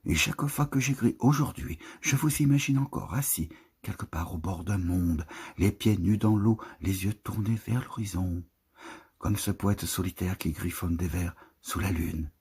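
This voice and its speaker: A man with a husky, breathy voice reads poetry in a solemn, lyrical manner, lingering over the phrases of a literary text. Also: sits low, moderate pace, darkish tone. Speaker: poetry reader. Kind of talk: literary poetry recitation, scripted narration.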